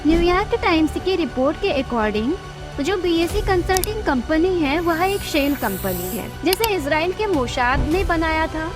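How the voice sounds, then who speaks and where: A woman with an Indian accent hosting an explainer video in a scripted narration style. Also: high-pitched. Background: music.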